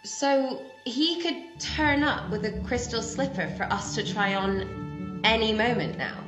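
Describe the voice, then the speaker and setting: A woman's voice: fairly high pitch, unhurried, music in the background, lightly acted. A woman in her twenties playing a sweet, dreamy, oblivious pantomime heroine, recorded in a roomy space over a video call. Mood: eager. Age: 20s.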